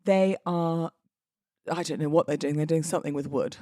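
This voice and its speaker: A woman's voice: low-pitched, steady tone, moderate pace, mellow, no room sound, clean studio sound. A British woman, likely in her thirties, hosting a casual solo podcast in a chatty, self-deprecating, playfully emphatic way. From United Kingdom. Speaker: podcast host; wedding celebrant.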